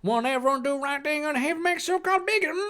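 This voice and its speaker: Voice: high-pitched, rapid-fire, a bit bright, voice pushed up. A reader voicing a folksy, gushing, self-righteous devout mother in a mock-dramatic fan fiction reading. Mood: preachy, self-righteous. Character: preachy, folksy devout mother writing author's notes.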